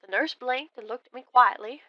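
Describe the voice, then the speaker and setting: Dull-sounding, higher-voiced woman telling a ghost story. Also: moderate pace, no echo. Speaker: storyteller calling in to a horror radio show. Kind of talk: dramatic scripted first-person ghost story narration.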